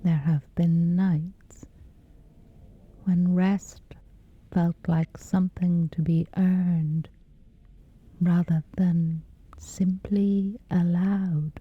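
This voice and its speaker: A woman softly guiding a scripted sleep meditation in a breathy, gentle, soothing hush with long pauses. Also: lowish voice, fairly even intonation, phone-quality sound. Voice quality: breathy, whispery soft.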